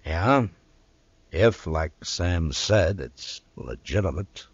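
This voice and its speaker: Western marshal, American, very low voice with lively inflection, terse and steady, vintage radio drama. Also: male voice, slow, a little hiss or hum. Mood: laconic. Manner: terse, dry, firm. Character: laconic, steady male US marshal of the Old West. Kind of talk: 1950s radio western acting. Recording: dull vintage radio recording.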